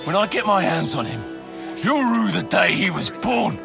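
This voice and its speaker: An actor playing a gruff, commanding leader of a mercenary band in a video game cutscene, blunt and authoritative. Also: male voice, sits high, big pitch swings, normal speed, full character voice. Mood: angry, bitter. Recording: music behind the voice. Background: music.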